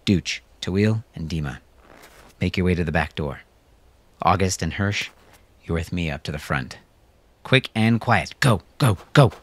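A man voicing a brisk, businesslike military captain who gives clipped, authoritative orders in an audio drama. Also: deep voice, expressive. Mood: quiet command.

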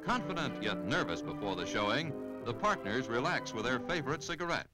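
A man's voice: mid-range voice, very animated, brisk, poor audio. A man with an American accent reading scripted 1950s newsreel narration in a polished announcer delivery. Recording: 1950s newsreel soundtrack, music behind.

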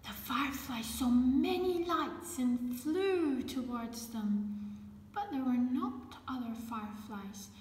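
A woman reading a children's picture book aloud as a storyteller, in a measured, gentle way. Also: high voice. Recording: echoey room with background noise.

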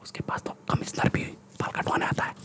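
A man's voice: a little fast, close to an everyday voice. A young man with an Indian accent playing a cocky, threatening street gangster in a whispered ASMR roleplay, speaking bossy street slang close to the microphone. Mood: complaining. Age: young adult. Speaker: ASMR performer. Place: small room indoors. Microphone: close-up large desktop microphone.

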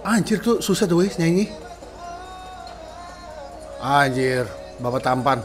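A man in his thirties giving casual, excitable reaction commentary as a sound engineer, full of slang exclamations, at home in a small room. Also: on the high side, slow. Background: faint music playing.

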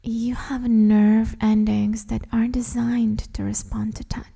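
A woman guiding a meditation in a soft, breathy half-whisper, soothing and gently instructing the listener. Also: fairly high pitch, little pitch movement, takes it slowly. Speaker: meditation guide, host. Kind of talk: guided meditation, hypnosis-like.

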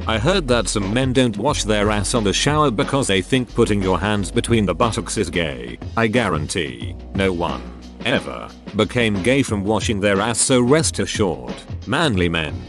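Low-pitched man reading forum posts aloud. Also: animated, normal speed, few pauses, music in the background. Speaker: narrator.

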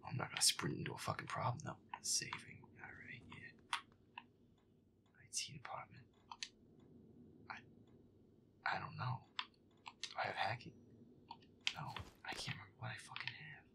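A man mutters his way through a video game in an irritable, foul-mouthed commentary. His voice is breathy and often drops to a whisper, with a gravelly creak. His pitch moves around freely as he grumbles. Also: expressive, moderate pace, long pauses, pushed and bright. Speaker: gamer playing a horror game in character. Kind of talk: gameplay commentary, muttered roleplay audio.

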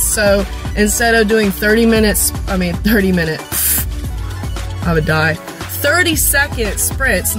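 A woman in her twenties with an American accent, chatting informally and using slang as she vlogs about workouts. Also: mid-range voice. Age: 20s. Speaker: fitness vlogger. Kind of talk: casual vlog chat explaining workouts. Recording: loud music behind the voice. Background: music.

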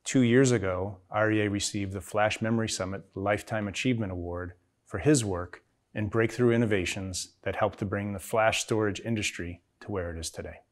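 A man's voice: lowish voice, fairly even intonation, fairly quick. A middle-aged man presenting a scripted keynote with a polished, promotional delivery. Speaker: company executive presenting a keynote.

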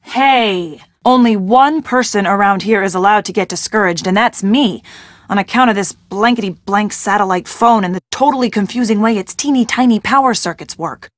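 A woman's voice: medium pitch, normal speed, dry recording, clean studio sound, lightly acted. An actress playing a spirited, earnest young woman in a video game, a loyal and anxious friend who is wry and plucky. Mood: wry, determined.

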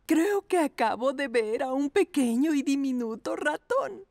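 Affectionate mother pug for a kids' cartoon: high, swooping, expressive, slow-paced. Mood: alarmed. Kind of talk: children's cartoon dub acting.

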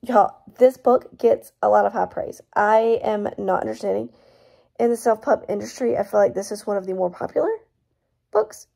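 A young woman, hoarse from losing her voice, chatting casually and emphatically about a book she is reading, recorded on her phone at home. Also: mid-range voice, normal speed, dark tone. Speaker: book vlogger. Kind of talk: casual book review vlog. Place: small room at home, indoors. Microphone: phone front camera at arm's length.

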